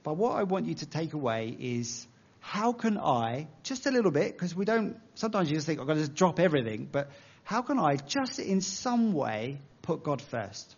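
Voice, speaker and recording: A man's voice: a little high, big pitch swings, normal speed, dull audio. A man with an English accent preaching a sermon in a conversational, self-deprecating way, using everyday analogies.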